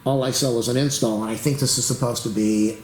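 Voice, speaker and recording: A man hosting a casual computer tutorial, rambling as he waits, losing his voice and clearing his throat. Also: medium pitch, steady tone, fast, darkish tone, reverberant, slight background noise, low-quality recording. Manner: rambling, talks to the camera while waiting. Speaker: YouTube tech tutorial host. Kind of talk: rambling casual screen-recording commentary.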